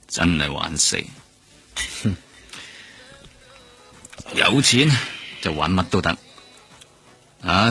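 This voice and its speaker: Weary old-hand cop, Hong Kong accent, gravelly bass, forceful edge, cynical, sardonic, radio drama. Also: male voice, exaggerated voice. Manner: blunt, sardonic. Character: cynical, world-weary veteran male police detective. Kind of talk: Cantonese radio drama acting. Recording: music bed behind the voice.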